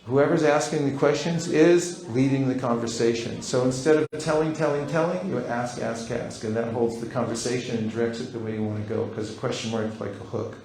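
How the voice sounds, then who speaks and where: A man of middle pitch teaching a seminar in a roomy hall, his voice ringing around the space. He is playful and acts out little exchanges, switching into quick voices for the people in them. The tone is lively and friendly. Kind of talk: live seminar talk. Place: large hall.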